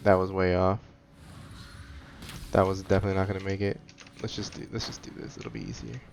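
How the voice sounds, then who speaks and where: A man with a slightly low voice talks casually through his gameplay. He is laid-back and reactive, with faint noise and keyboard clicks behind him. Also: little pitch movement, fairly quick, vocal fry. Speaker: gaming commentator. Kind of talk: casual playthrough commentary.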